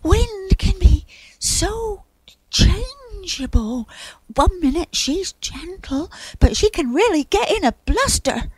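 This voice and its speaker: A woman's voice: very high-pitched, sing-song, no echo, pitched above the performer's own voice, exaggerated voice, low-quality recording. A woman voicing a sulky, plaintive little cloud in a children's story, drawing out its complaints. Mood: anxious warning. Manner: plaintive, drawn-out protesting.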